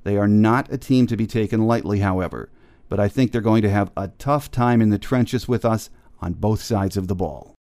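A man's voice: fairly low pitch, mellow, clean tone, no echo, clean studio sound. An American man hosting a sports podcast, delivering a scripted monologue. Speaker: podcast host.

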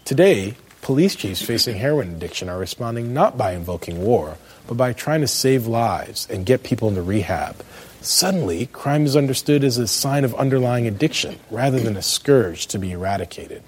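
An American man in middle age reading a scripted spoken essay as a commentator, with emphatic, measured phrasing. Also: medium pitch, animated, a little mellow, breathy edge. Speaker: commentator, law professor.

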